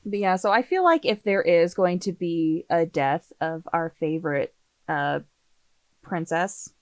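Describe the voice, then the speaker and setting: A woman's voice: on the low side, measured pace, no room sound. A woman chatting casually as a podcast panelist, an enthusiastic and knowledgeable fan.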